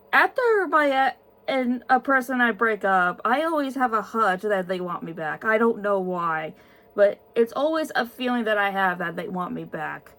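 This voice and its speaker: A woman's voice: medium pitch, fairly bright tone. A woman in her twenties, tired, answering viewer questions in a casual vlog with many ums and restarts. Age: 20s.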